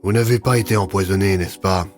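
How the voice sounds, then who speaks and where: A man's voice: low voice, steady tone, fairly quick, a little mellow, faint music behind, close to an everyday voice. A man playing a cautious, candid hospital doctor in an audio drama. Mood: concerned.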